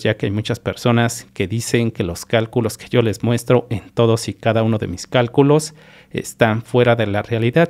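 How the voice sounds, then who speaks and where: Lower-voiced man walking through channel earnings. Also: darkish tone. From Mexico. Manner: repetitive, methodical, addresses viewers directly. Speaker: YouTube channel host. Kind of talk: screen-share voice-over explaining earnings.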